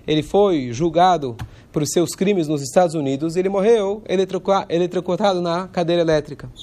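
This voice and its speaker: A man, hoarse from a cold, giving an informal religious lesson in a conversational way and pausing now and then to search for words. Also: sits high, mellow.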